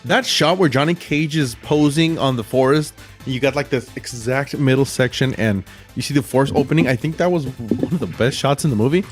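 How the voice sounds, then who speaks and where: A man's voice: mid-range voice, brisk, faint music behind. A man co-hosting a podcast, chatting casually about movies in a reactive, emphatic way. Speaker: podcast co-host. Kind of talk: casual movie review chat.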